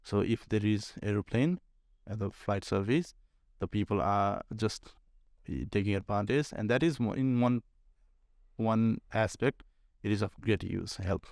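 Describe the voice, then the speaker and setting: Male podcast guest, Nepali accent, low-pitched, explanatory, rephrases often, very dry room sound. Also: a little slow, vocal fry, darkish tone, no echo. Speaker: air traffic controller, podcast guest. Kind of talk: conversational interview answers.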